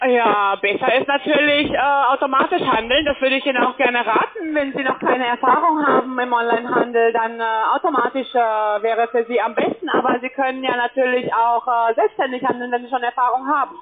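A man with a very high voice works through a call center sales pitch. He speaks haltingly, often searching for his words. The sound is a narrow phone line with loud chatter all around him. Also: fairly even intonation. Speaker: call center caller selling crypto trading.

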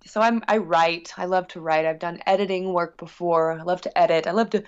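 A woman's voice: lowish voice, steady tone, fairly quick, clean tone. Woman musician chatting, slightly dull audio. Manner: long-winded, rambling and earnest. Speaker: guest musician. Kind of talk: casual interview chat.

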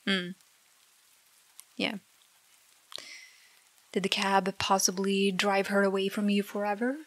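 A woman playing a jealous, hurt girlfriend in an ASMR roleplay argument, halting and exasperated, with sarcastic edges. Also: mid-range voice, completely flat delivery, slight background noise, natural voice, poor audio. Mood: sarcastic, upset. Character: jealous, hurt young girlfriend arguing with partner. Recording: dry close mic, rain behind. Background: rain.

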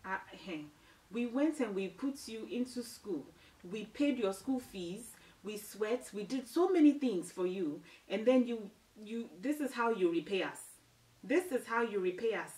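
A woman voicing an indignant Ghanaian parent scolding a daughter, in a lower, hushed, reproachful tone. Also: lively intonation, unhurried, natural voice. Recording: roomy, distant mic.

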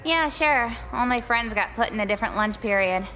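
A woman's voice: fairly high pitch, lively intonation, fairly quick, pushed and bright, poor audio. A woman playing a friendly, chatty, irreverent preppy teenage girl in a video game. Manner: slangy, casual.

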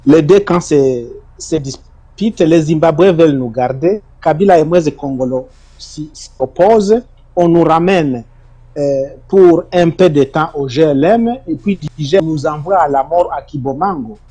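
Congolese guy on an interview, husky dark voice, keeps repeating himself, pushes hard then trails off low. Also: moderate pace. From Democratic Republic of the Congo. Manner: insistent, repeats himself, emphatic rises and low trailing ends. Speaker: interview guest. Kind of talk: defensive testimony in an interview.

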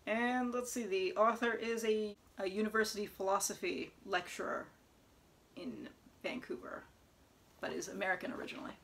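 A young woman chatting casually about new books at home, sometimes reading back-cover blurbs aloud in an even tone, recorded by a camera close in front of her. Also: mid-range voice, creaky, slight background noise. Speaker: book vlogger. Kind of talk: casual book haul chat with read-aloud blurbs. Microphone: camera in front at close range.

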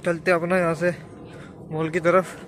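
A man's voice: a little high, level tone, moderate pace, fairly bright tone, a little hiss or hum. A young man casually narrating a travel vlog into his phone, chatting to viewers as guys, first outdoors by the sea and later at home. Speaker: vlogger.

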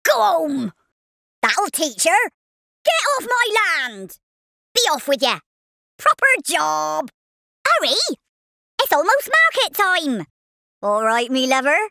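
A rustic little farmer worm in a cartoon video game, with a West Country accent. The voice is high and swoops about animatedly, drawing words out at an unhurried pace. It is cheeky and quick to take offence, delivering short exclamatory barks. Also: sing-song, creaky, pushed and bright, no room sound, full character voice. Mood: indignant. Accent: English West Country.

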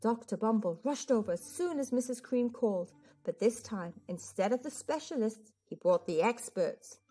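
A woman's voice: fairly high pitch, animated, moderate pace, mellow, husky. A woman storyteller reading a children's picture book aloud with expression. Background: music.

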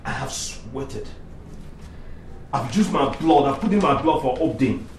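An actor playing a frustrated young husband and son who pleads and breaks into angry outbursts. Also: male voice, medium pitch, animated, a little slow, slight background noise, natural voice. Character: frustrated young family man. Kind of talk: film drama acting.

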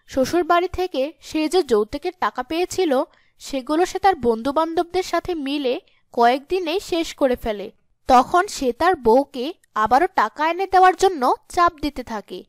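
A woman's voice: high-pitched, animated, moderate pace, no echo, studio quality. A woman reading scripted storytelling narration for a cartoon.

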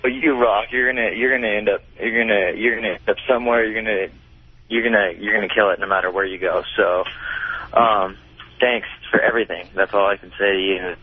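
Flat, lowish male caller on phone line. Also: brisk. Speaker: radio show caller. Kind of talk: earnest casual phone call. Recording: narrow tinny phone line on radio.

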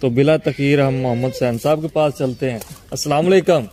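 A man's voice: mid-range voice, brisk, mellow, slight background noise. A man in his thirties hosts a garden walk-through, asking polite questions and echoing the answers for his viewers. His voice sits in an ordinary middle register. Faint outdoor ambience and birds sit behind him. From Pakistan. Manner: polite, repeats answers back, addresses viewers as friends. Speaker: vlogger host. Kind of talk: guided interview vlog. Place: outdoor walled kitchen garden.

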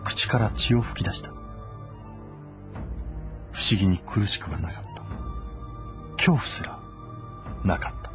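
An actor as the grave storyteller of a historical war epic, delivering scripted dramatic narration. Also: male voice, sits low, lively intonation, a little slow, pauses often. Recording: music behind the voice.

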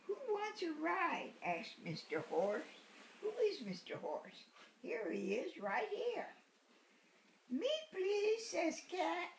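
A woman's voice: very high-pitched, big pitch swings, slow. An elderly woman in her eighties reading a picture book aloud to a small child, playfully repeating words and adding asides. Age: 80s. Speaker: great-grandmother reading to a baby. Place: living room sofa, indoors. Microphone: handheld camera a short distance away. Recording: roomy home recording with background noise.

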